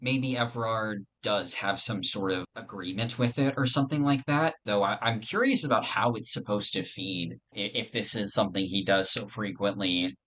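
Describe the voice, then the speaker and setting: A man's voice: on the low side, moderate pace, hardly any pauses, a bit bright. A man chatting casually as a podcast lore guest, thinking aloud in a hesitant, rambling way. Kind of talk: casual chat.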